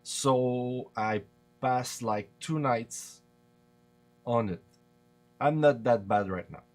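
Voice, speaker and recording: Quebec french guy streaming a tutorial, slow and halting, lots of uhs, faint music behind. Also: medium pitch, a little mellow. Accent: Québécois French. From Quebec, Canada. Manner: hesitant, many fillers and restarts. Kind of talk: casual live-stream tutorial.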